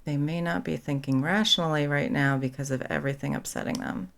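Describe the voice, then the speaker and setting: A woman's voice: low voice, fairly even intonation, brisk, gravelly. A young woman playing a caring, protective best friend in a conversational comfort roleplay, supportive and blunt. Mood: reassuring, matter-of-fact. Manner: casual, supportive, blunt, sweary.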